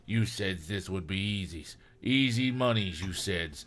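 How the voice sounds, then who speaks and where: A man's voice: sits low, animated, a little slow, fairly bright tone, pitched below the performer's own voice. A man voicing a volatile, snarling kidnapper with a knife, in an audiobook-style reading. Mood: angry, accusing. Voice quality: raspy.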